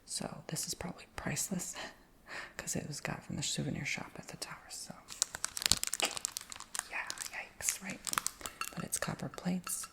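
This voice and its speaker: A woman whispering casually in a show-and-tell video, rambling with lots of fillers and slowly reading out labels. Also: low-pitched. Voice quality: soft whisper with creaky edges. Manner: reads names and titles slowly.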